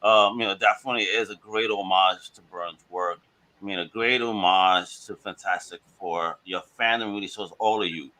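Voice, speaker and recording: A man's voice: low voice, fairly even intonation, normal speed, sharp tone, low-quality recording. A man from Brooklyn hosting a podcast, chatting casually and eagerly hyping up his guests. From Brooklyn, New York. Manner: enthusiastic, hypes guests up. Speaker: podcast host. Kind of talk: casual chat.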